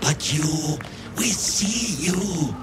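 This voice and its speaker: High-voiced, zealous fish-folk priest. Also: male voice, animated, very slow. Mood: reverent. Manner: chanting, reverent, declamatory. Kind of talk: video game voice acting. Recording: loud music behind the voice.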